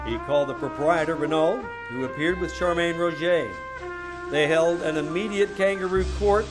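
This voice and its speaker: An actor playing a wry, tough private detective, dry and wisecracking, in an old-time radio play. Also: male voice, on the high side, lightly acted, rough recording. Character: wry, tough male private detective narrating his case.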